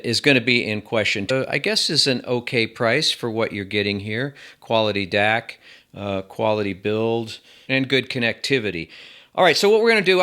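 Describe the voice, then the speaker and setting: A man's voice: mid-range voice, pushed and bright. A man giving a casual, rambling product review as a channel host, with wry asides.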